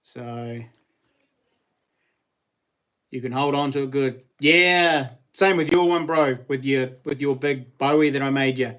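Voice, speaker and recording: A man's voice: medium pitch, normal speed, fairly bright tone. A middle-aged man with a New Zealand accent, hosting a casual livestream and chatting with viewers in a rambling, slangy way with frequent laughs. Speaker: livestream host.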